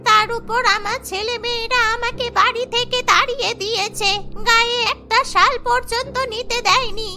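Woman voicing a plaintive, high-pitched old grandmother. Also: fairly even intonation, normal speed, sharp tone, heavily acted. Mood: sorrowful, plaintive. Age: older. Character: frail, sorrowful, kindly elderly grandmother. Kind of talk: Bengali cartoon story voice acting. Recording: background music under the voice.

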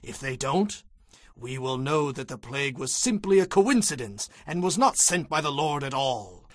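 An actor playing a solemn, commanding religious leader of ancient times in a dramatized audio Bible, earnestly exhorting. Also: male voice, mid-range voice, big pitch swings, normal speed, no room sound, clean studio sound, lightly acted. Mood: solemn warning.

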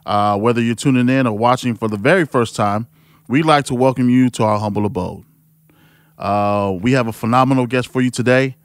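A man's voice: mid-range voice, fairly quick, no echo, studio quality. A man hosting a casual interview, hyped and laid-back, calling people "man". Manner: hype, laid-back, says "man" a lot. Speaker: guest host.

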